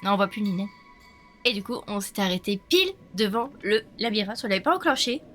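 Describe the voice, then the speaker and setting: A woman's voice: medium pitch, expressive, fast talker, fairly bright tone. Chatty young woman, nasal from a cold. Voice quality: slightly nasal. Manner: chatty, laughs often, talks to viewers. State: stuffy nose from a cold. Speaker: let's play streamer. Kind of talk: casual gameplay commentary. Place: at home. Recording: game music behind the voice. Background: game music.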